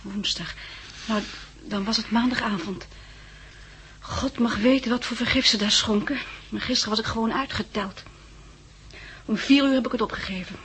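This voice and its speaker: A woman's voice: fairly high pitch, moderate pace, fairly bright tone, natural voice. An actress playing a shaken, grateful young woman, well-bred and hesitant, in a 1960s radio drama. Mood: shaken, confiding. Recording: noisy 1960s radio recording.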